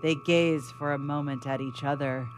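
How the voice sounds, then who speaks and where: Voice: mid-range voice, fairly even intonation, moderate pace. Formal radio-play narrator over music. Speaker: narrator reading stage directions. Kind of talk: scripted dramatic narration for radio theatre.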